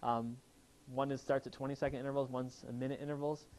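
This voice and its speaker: A man's voice: medium pitch, little pitch movement, fast talker, a little mellow, a little hiss or hum. A chiropractor giving an enthusiastic health seminar, asking his audience questions as he talks. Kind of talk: live seminar talk to an audience. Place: room with a live audience.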